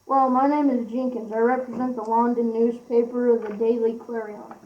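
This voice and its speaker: A woman's voice: fairly high pitch, fairly even intonation, normal speed, slight background noise, lightly acted. A young teenage girl playing a polite, incredulous London newspaper reporter in an amateur school radio play. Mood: polite, inquiring. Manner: courteous, flustered. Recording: dull amateur recording.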